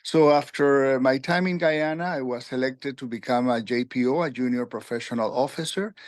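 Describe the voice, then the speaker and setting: A man in his sixties from Peru, a retired UN official telling his career story in an interview, with halting speech full of fillers and restarts. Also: mid-range voice, dry recording, studio quality. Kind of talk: conversational interview.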